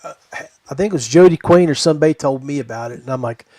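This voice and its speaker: A man hosting a podcast in a casual, folksy, halting way. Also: fairly high pitch, dark tone, dry recording. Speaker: podcast host.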